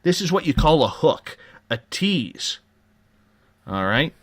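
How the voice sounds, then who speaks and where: A man's voice: on the high side, sing-song, slow, dry recording. A man hosting a live late-night radio talk show, giving upbeat, joking promotional patter. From Canada.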